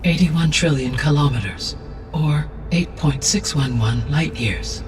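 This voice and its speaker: A man voicing a calm, benevolent artificial intelligence in a video game, speaking in a formal, precise and measured way. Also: on the high side, recorded from a distance, lightly acted. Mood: precise, explanatory. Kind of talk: scripted video game cutscene dialogue. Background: background music.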